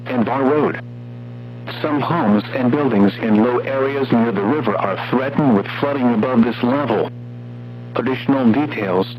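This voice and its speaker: A synthetic text-to-speech man's voice with an American accent reads a formal, measured emergency weather bulletin over weather radio. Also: medium pitch. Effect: weather radio broadcast. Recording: dull noisy weather radio with hum.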